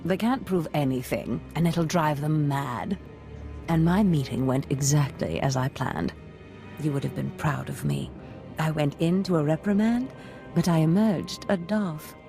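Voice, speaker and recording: A woman's voice: low voice, unhurried, creaky, dark tone, faint music behind. A woman playing a proud, ambitious Sith master in a video game, speaking with imperious, theatrical scheming. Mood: smug, gloating. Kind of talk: scripted video game voice acting.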